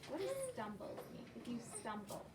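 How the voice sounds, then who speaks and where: High-pitched woman teacher in a roomy, noisy space. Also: huge pitch swings, normal speed. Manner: questioning, repeats and affirms answers. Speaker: primary school teacher. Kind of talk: interactive read-aloud lesson with children. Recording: roomy, noisy, distant classroom microphone.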